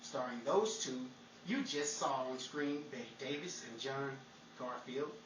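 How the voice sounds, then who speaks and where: A man playing an upbeat, smooth-talking 1940s radio host and announcer, theatrical and salesmanlike. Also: medium pitch, unhurried, distant mic, close to an everyday voice. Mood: smooth announcing. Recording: echoey room, off mic, faint noise.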